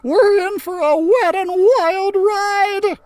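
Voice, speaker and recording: A man voicing a worried schoolboy in a storybook, exclaiming and complaining in drawn-out phrases. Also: very high-pitched, steady tone, darkish tone, no room sound, voice pushed up. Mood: alarmed. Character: worried, whiny schoolboy, easily alarmed.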